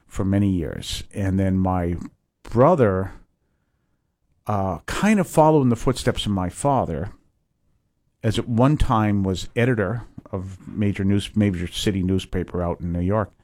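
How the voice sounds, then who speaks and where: A man in his fifties from Massachusetts, a priest, giving a rambling conversational interview with many pauses and restarts. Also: sits low, darkish tone, no echo.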